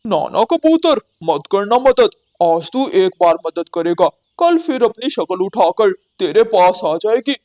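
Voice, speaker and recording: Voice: medium pitch, sing-song, a little slow, hardly any pauses, a little mellow. An actor voicing a callous male crow in a cartoon, dismissive and scornful. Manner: dismissive, sneering.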